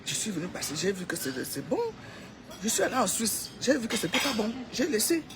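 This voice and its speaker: A woman's voice: medium pitch, sing-song, fairly quick, noisy background. A woman with a Cameroonian accent giving an informal, opinionated monologue to followers, exhorting them and repeating phrases for emphasis. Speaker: social media commentator.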